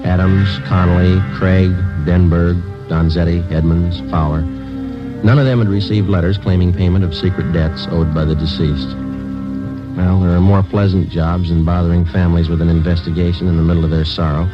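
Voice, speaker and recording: A very low-voiced, stoic cop played by a male actor in a 1950s radio crime drama. He is clipped and deadpan, businesslike in every line. The recording is old and muffled, with music around it. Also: moderate pace, close to an everyday voice. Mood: deadpan, somber. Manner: deadpan, matter-of-fact. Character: stoic male police sergeant, terse and businesslike. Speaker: lead actor and narrator.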